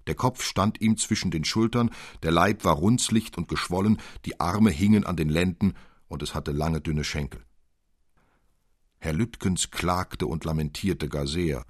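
A man narrating a literary tale in an expressive, deliberate, old-fashioned storytelling manner. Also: sits low, no echo, clean studio sound. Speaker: audiobook narrator.

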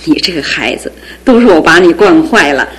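A woman's voice: low voice, lively intonation, fairly quick, rough recording. An actress playing a caring middle-aged mother who fusses over her grown son in a radio drama. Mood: doting. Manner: doting, fussing, affectionate.

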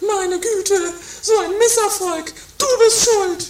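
A woman's voice: very high voice, slow, distant mic. A woman playing a melodramatic, quarrelsome failed pop singer in a comedy radio play, wailing and accusing. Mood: whining, indignant. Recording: roomy amateur 1980s radio play, music behind.

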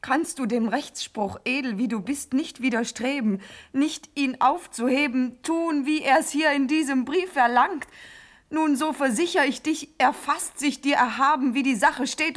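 An actress playing an ardent, pleading young noblewoman in a classical verse radio drama, fervent and declamatory, often dropping into a breathy whisper. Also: sits high, a little slow. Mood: urgent, pleading.

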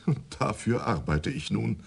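Husky smug, sardonic dentist. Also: male voice, on the low side, big pitch swings, heavily acted. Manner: dry, sardonic, drawn-out. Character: smug, greedy male society dentist. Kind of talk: radio drama acting.

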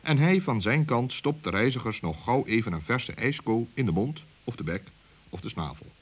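A man narrating a scripted children's story in an expressive storytelling manner. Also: medium pitch, moderate pace, slightly rough.

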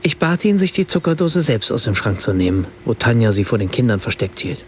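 An actor as an observant writer, narrating in the first person for a radio play with precise, understated literary phrasing. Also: male voice, mid-range voice, big pitch swings, brisk, a little hiss or hum, lightly acted. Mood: composed, observant. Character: observant German writer, husband and father, narrating a visit.

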